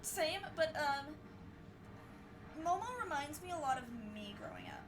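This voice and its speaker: A woman's voice: sits high, animated, normal speed. A woman co-hosting a podcast, chatting enthusiastically with her co-host. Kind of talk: casual chat. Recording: distant mic with faint noise.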